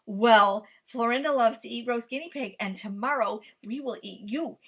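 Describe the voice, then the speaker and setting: A woman voicing a gruff middle-aged male farmer who exclaims and scolds loudly. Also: on the high side, moderate pace. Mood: scolding.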